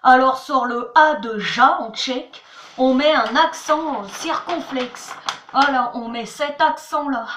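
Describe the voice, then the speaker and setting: A woman teaches a homemade lesson from her notebook in a high, bright voice. She takes her time, spelling each word out letter by letter and pausing on "voilà". Her voice rings around a bare, echoey room. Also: noisy background. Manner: chatty, repeats "voilà" and "attention", spells words letter by letter. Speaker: channel host teaching words.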